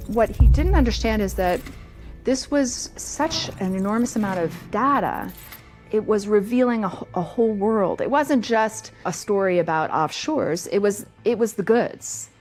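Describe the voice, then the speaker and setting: A woman reporter speaking conversationally in an interview, stressing her points with lively emphasis. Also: medium pitch. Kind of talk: documentary interview.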